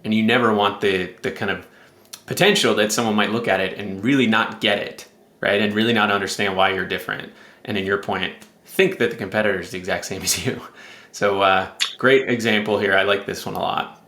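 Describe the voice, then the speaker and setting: A man's voice: fairly low pitch, fairly even intonation, brisk, sharp tone. A man hosting a show with casual expert commentary, in a roomy, echoey recording. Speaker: host.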